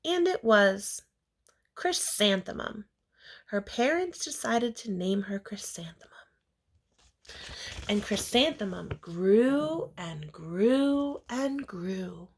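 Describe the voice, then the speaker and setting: Slow-paced woman reading a picture book aloud. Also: mid-range voice, expressive, husky. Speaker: read-aloud reader. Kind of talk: expressive children's picture book read-aloud.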